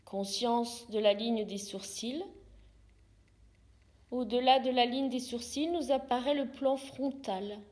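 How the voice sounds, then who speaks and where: A woman leads a guided relaxation. She speaks very slowly and calmly, giving short instructions and leaving space between them. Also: on the high side. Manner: calm, unhurried, short soothing instructions with pauses. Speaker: yoga therapist guiding relaxation. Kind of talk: guided yoga nidra meditation.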